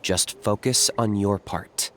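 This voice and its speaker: A man voicing a cool, businesslike young warrior guide in a video game, matter-of-fact and measured. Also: on the low side, fairly even intonation, fairly bright tone, a little hiss or hum. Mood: instructive. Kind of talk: video game character dialogue.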